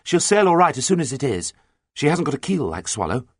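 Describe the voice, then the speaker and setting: A man's voice: mid-range voice, very animated, fairly quick, slightly rough, dry recording, clean studio sound, pitched above the performer's own voice. A man voicing an earnest boy captain giving sailing orders in an audiobook. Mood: brisk. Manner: commanding, calling out nautical orders. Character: earnest boy sailor captain, brisk and cautious. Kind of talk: audiobook character dialogue.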